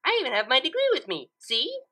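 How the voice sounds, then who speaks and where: A woman voicing a cheerful, scatterbrained young female cartoon pony with a giggly, excitable, breezily confident manner. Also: high voice, a little fast, husky, pitched above the performer's own voice, heavily acted.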